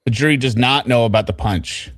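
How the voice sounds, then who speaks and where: A man's voice: mid-range voice, brisk, dry recording, clean studio sound. A man hosting a recap show, commenting casually and animatedly and sometimes mimicking the people he quotes. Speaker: host of a trial recap show.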